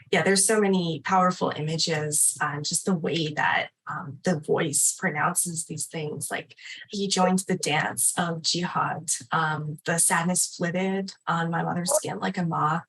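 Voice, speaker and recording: A woman with a slightly low voice talks informally as an event host. Her delivery is unscripted and appreciative, in a conversational style. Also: steady tone, unhurried, a bit bright, slight background noise, poor audio. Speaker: event host and literary editor. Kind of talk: casual unscripted remarks at an online literary event.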